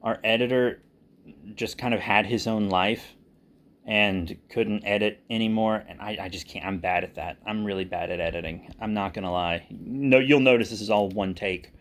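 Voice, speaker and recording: A man speaking casually and unscripted as a channel host, candid and self-deprecating, with halting delivery. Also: fairly low pitch, fairly bright tone. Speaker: audio drama creator and channel host. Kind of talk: casual unscripted vlog talk.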